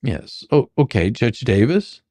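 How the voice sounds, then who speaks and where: A performer playing a bumbling, sarcastic questioning attorney in a comedic deposition reading, halting and theatrical. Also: mid-range voice, big pitch swings, dark tone, no room sound. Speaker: dramatic reader.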